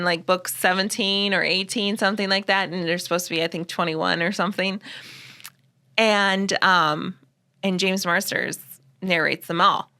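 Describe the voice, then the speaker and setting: Brief: chatty woman co-host, youthful voice held low, bright tone, lots of laughter. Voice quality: youthful voice she tries to pitch down. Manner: chatty, laughs often, rambles with restarts. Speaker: podcast co-host. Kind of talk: casual chat.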